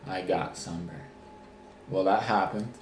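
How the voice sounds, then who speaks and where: A deeper-voiced man talks in a laid-back, joking tone as a podcast guest. His delivery is low-key and easygoing. The room adds noticeable echo and a faint hum. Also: measured pace. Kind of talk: casual podcast chat.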